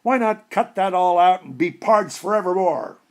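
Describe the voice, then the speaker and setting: A man's voice: high voice, expressive, moderate pace. A man voicing a wisecracking, streetwise pet dog in a storybook, with colorful slangy talk. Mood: pleading, scolding. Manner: slangy, colorful insults and pleading.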